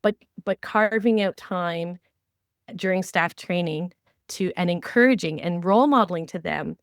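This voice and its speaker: A woman hosting a podcast, talking conversationally and explaining with animated examples. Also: on the low side, moderate pace, no echo, clean studio sound. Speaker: podcast host. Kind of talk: conversational training discussion.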